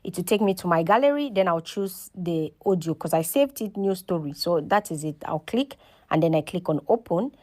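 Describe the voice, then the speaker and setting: A woman's voice: lowish voice, lively intonation, normal speed, darkish tone. A woman hosting a casual step-by-step tutorial, talking instructionally and often checking in with "okay" and "right". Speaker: tutorial host.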